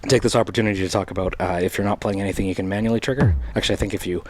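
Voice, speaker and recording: A man's voice: lowish voice, fairly even intonation, rapid-fire. A young man on a headset mic in a small home studio, talking casually and rambling with lots of fillers while demoing gear, his voice still recovering from a sinus infection. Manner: rambling, filler-heavy, enthusiastic. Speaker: synth module reviewer. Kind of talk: casual demo talk. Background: electronic music from modules.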